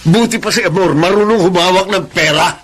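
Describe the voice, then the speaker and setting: An actor with a Filipino accent playing a weary, regretful schoolteacher husband in a melodramatic radio drama. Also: male voice, high-pitched, fairly quick, a bit bright, slight background noise. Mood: angry, exasperated. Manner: melodramatic, earnest. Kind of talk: Filipino radio drama acting, letter-style narration and dialogue.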